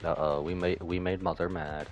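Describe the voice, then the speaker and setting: A man's voice: low voice, level tone, normal speed, faint music behind. A man giving casual, joking and exclamatory reaction commentary as a gaming streamer.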